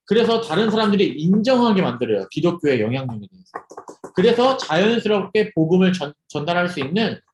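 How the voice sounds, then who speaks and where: A man's voice: sits high, quick pace, echoey room, slight background noise. A young man leading an interactive youth sermon over a video call, asking his students questions as he goes. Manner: lively, asks students questions and jokes with them. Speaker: youth ministry preacher.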